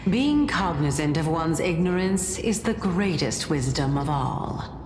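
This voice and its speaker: A woman voicing a serene, cryptic titan goddess in a video game, measured, knowing and aloof. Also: low voice, animated, a little mellow, slight background noise. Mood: wry, wise. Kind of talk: dramatic video game voice acting. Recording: echoey reverberant hall, faint music.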